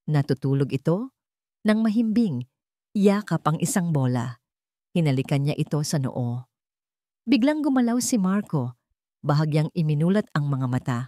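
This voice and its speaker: A Filipino woman reads a dramatic love story as its narrator. Her voice sits on the low side and is recorded close and dry. She tells it in a measured, emotive way. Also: sing-song, dark tone, no room sound.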